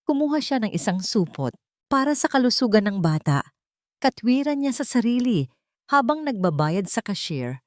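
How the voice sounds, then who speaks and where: A woman with a Filipino accent narrating a scripted romantic drama story in a dramatic, measured storytelling manner. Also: on the high side, very animated, dry recording, studio quality.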